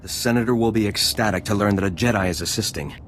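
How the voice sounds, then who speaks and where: A man's voice: on the low side, quick pace, a bit bright, a little hiss or hum, lightly acted. Actor as gushing, eager senator's aide, male. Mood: eager, formal. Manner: gushing, formal, obsequious. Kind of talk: video game dialogue acting.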